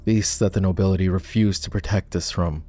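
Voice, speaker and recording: A man playing a proud, bitter lowborn knight and war hero in a dramatic fantasy roleplay audio, with a sardonic, scornful manner. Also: fairly even intonation, fairly quick, dark tone, faint music behind. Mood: grieving, bitter. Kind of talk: fantasy roleplay ASMR voice acting.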